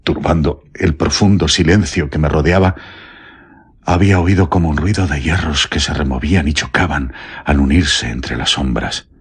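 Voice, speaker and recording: An actor with a Castilian accent playing a terrified, guilt-ridden prison warden confessing haltingly in a radio drama. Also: male voice, rumbling low, breathy edge, heavily acted. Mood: haunted, fearful. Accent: Castilian Spanish. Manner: halting, trembling, pleading. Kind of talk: Spanish radio drama acting.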